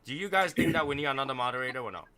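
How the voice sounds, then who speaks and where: A man's voice: mid-range voice, expressive, racing, sharp tone. A man in his thirties from Boston hosting a casual live stream chat in a rambling, repetitive way. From Boston, United States. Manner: rambling, repeats himself. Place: small foam-padded home studio.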